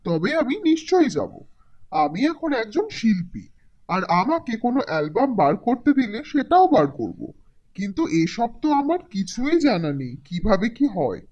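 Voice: medium pitch, very animated, normal speed, darkish tone, highs cut off. An actor voicing a poor, naive, aging village peanut seller in a cartoon, in a simple, folksy, bashful manner. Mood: bashful, pleased. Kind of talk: Bengali cartoon voice acting.

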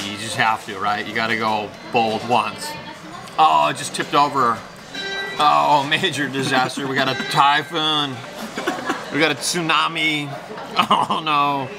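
A man in his fifties hosting a casual food vlog, chatty and playful, reacting loudly as he tastes sushi in a small restaurant. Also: medium pitch. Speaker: food vlogger host. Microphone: handheld camera close up. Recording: noisy restaurant room.

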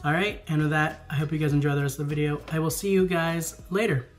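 A man's voice: fairly high pitch, fairly even intonation, fast, music in the background. A young man chatting casually to his viewers in a travel vlog, giggly and direct, filmed at home by a window. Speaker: vlogger.

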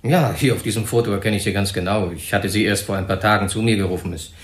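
An actor playing an officious, formal personnel manager in a crime radio drama, speaking very correctly. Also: male voice, on the low side, quick pace, close to an everyday voice. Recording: roomy, echoing interior sound.

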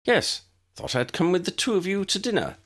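A man's voice: sing-song, a little fast, fairly bright tone, breathy edge, exaggerated voice. A man voicing an eager, fawning teenage schoolboy prefect in an audiobook story, simpering and keen to impress. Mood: eager, flustered. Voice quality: high-pitched. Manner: simpering, over-eager, scrambling.

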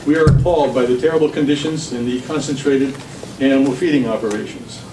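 A middle-aged man reading prepared testimony in a measured, formal way at a public hearing. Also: mid-range voice. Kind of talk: prepared testimony read aloud. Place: government committee hearing room. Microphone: camera across the room. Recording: distant, echoey room recording with background noise.

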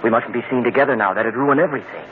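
An actor playing a cold, greedy young lover, domineering and smug, in vintage radio drama style. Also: male voice, mid-range voice, rapid-fire, natural voice. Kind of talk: 1940s radio acting. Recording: muffled, hissy old radio broadcast.

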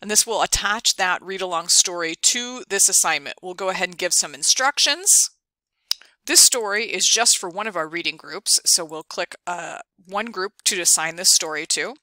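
A woman presenting an instructional screencast, explaining things clearly step by step. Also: lowish voice, animated, normal speed, pushed and bright, dry recording. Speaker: ed tech tutorial presenter. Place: dry close voice-over for a screen recording.

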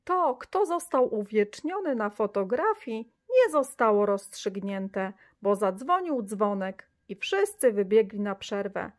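A woman reading a children's story aloud, lively, with the children's lines in brighter, higher voices. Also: a little slow, few pauses.

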